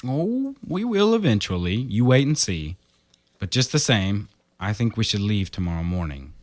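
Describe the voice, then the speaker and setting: A low-pitched, uneasy husband, played by a man in a radio horror play. He sounds like someone confiding in a diary and brushing off fears he half believes. The delivery stays natural and conversational. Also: very animated, normal speed. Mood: reassuring, uneasy. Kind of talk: radio horror drama acting with diary narration.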